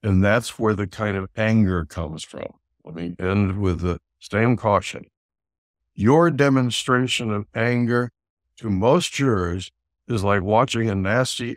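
A man's voice: on the low side, dry recording, clean studio sound. An American man in his seventies giving expert explanations in a blunt, instructive way over a video call. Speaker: trial consultant and author.

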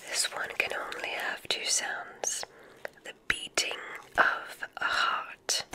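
A woman playing a gentle witch examiner in an ASMR roleplay, whispering in a soothing, deliberate, mysterious way. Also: lightly acted. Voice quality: breathy, unvoiced whisper.

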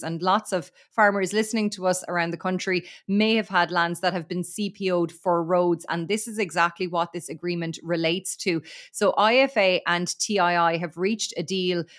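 An Irish woman reporting agricultural news on a podcast in a steady, detailed way with occasional fillers. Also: lowish voice, normal speed, hardly any pauses.

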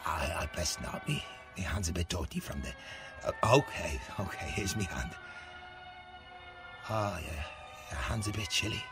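An actor playing a devoted, bashful working man who lives with his mother, halting and self-deprecating, with an Irish accent, in a radio play. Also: low voice, animated, music in the background. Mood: homely, tender. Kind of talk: radio play acting, naturalistic dialogue.

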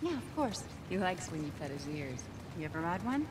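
A woman's voice: on the low side, very animated, fairly quick, noisy background. A woman playing a welcoming, no-nonsense settlement leader in a video game drama, direct in manner.

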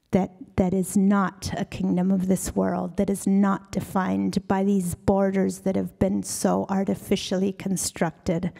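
Slow-paced, mellow middle-aged woman lecturer. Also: mid-range voice, gravelly. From Argentina. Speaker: guest lecturer. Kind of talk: reflective public lecture answer. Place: auditorium stage. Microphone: handheld microphone.

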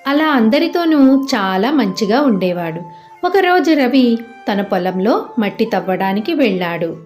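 A woman's voice: on the high side, big pitch swings, a little slow, a little mellow. A woman narrating a scripted moral story for children as a storyteller. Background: faint music.

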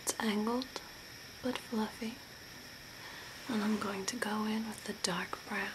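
Slow whispering zombie makeup artist played by a woman. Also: medium pitch, steady tone, close to an everyday voice. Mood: soft, attentive. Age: young adult. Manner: whispering, slow, unhurried with long pauses. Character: soft-spoken zombie woman makeup artist, attentive, macabre. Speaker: ASMR performer. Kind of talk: whispered ASMR role play. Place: small curtained room indoors. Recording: noticeable background hiss and ambience.

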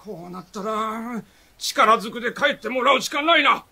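An actor playing a stern, threatening manor servant with rough, strained, archaic speech in a radio drama. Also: male voice, very high voice, moderate pace, sharp tone, full character voice. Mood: domineering, threatening.

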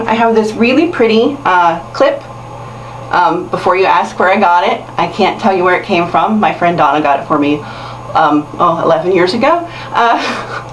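A woman in her thirties giving casual how-to instruction to viewers, chatty and self-deprecating, recorded in a small bathroom on a camera mic. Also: on the low side. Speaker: hair tutorial host. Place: small tiled bathroom, indoors. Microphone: camera mic at a short distance. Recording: roomy, distant camera mic with hiss.

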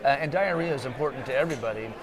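A man's voice: medium pitch, racing, rough recording. Chatty middle-aged man at a trade show. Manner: relaxed, loose, many fillers and restarts. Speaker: exhibitor guest. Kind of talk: casual interview. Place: trade show booth set, indoors. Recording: crowd noise behind. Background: crowd chatter.

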